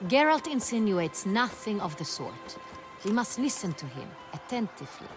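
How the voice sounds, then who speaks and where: A woman's voice: medium pitch, very animated, moderate pace, over music, natural voice. Haughty, regal young duchess, acted by a woman. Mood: firm, imperious. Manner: haughty, formal, speaks in the royal we. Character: proud, imperious young duchess, regal and theatrical. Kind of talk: video game cutscene acting.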